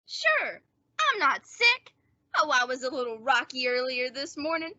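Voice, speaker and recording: A very high, bright-voiced teenage boy, played by a woman in an old-time radio comedy. He is lovesick and boastful, stretching his words with theatrical self-importance. The dry recording is slightly dull. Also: big pitch swings, dry recording, exaggerated voice. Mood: eager, confiding. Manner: drawn-out mock-dying groans and boyish bluster. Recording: dull, band-limited dry audio.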